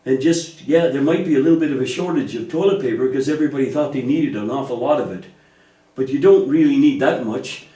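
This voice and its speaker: A man's voice: mid-range voice, fast, mellow. A man speaking patiently and reassuringly in a children's church puppet show, explaining things in simple terms. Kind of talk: gentle scripted dialogue teaching children about faith. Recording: echoey room, distant microphone.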